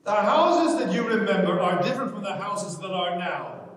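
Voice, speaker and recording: An actor playing a troubled, earnest grown son of a farmer, in a staged play reading. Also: male voice, high voice, lively intonation, normal speed, fairly bright tone. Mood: insistent, hurt. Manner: earnest, insistent. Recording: very echoey hall, distant microphone.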